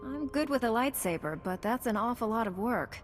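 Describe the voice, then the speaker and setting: A woman's voice: on the high side, animated, fairly quick, lightly acted. A dutiful young woman Jedi Knight played by an actress with a dry, wry streak. It is video game cutscene acting, set in a reverberant hall with music playing behind her. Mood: resolute, questioning.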